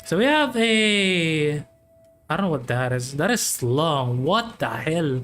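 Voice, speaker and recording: Slow-paced casual game streamer, mid-pitched. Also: sing-song, fairly bright tone. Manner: informal, reacting in short musing remarks. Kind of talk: casual gameplay commentary. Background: faint game music.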